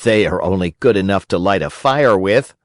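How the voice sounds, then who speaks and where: A man's voice: sits low, expressive, moderate pace, no room sound, clean studio sound. A man voicing a sensible little boy with a mocking, matter-of-fact manner, in a storybook reading. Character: teasing, sensible little boy refusing bargains.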